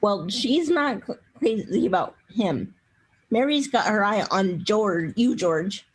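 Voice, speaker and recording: A woman playing a gently meddling middle-aged mother in a radio play, coaxing her grown son in a husky voice. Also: mid-range voice, animated, takes it slowly, low-quality recording. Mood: insistent. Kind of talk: old-fashioned radio play acting.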